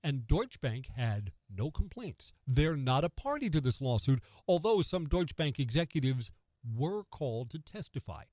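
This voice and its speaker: Animated American man, higher-pitched talk radio voice. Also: moderate pace, no echo. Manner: emphatic, rhetorical questions answered by himself. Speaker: radio talk show host. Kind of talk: conversational opinion commentary on news radio.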